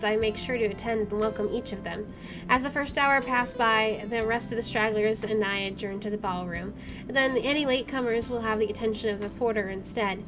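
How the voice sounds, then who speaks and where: A woman's voice: a little high, poor audio. A woman doing a game playthrough, reading story text aloud and adding casual commentary. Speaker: gaming streamer. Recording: game music behind the voice.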